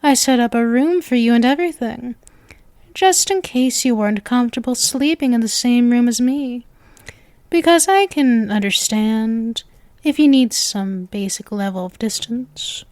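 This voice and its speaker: A woman's voice: on the high side, unhurried, a little mellow, no echo, lightly acted. A woman voicing a sweet, lovestruck, clingy witch girlfriend in an ASMR roleplay, speaking to the listener in a coy, nervous, gushing and intimate manner. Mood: affectionate, nervous. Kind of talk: ASMR roleplay, scripted acting addressed to listener.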